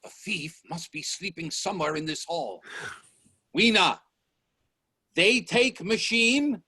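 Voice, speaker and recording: Casting: male actor as obsessive Edwardian inventor, high-pitched husky voice, urgent and demanding, radio drama. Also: lively intonation, slow, pushed and bright, dry recording, full character voice. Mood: suspicious, demanding. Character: driven, hot-tempered male Edwardian inventor, stubborn and obsessive. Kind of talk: radio play acting.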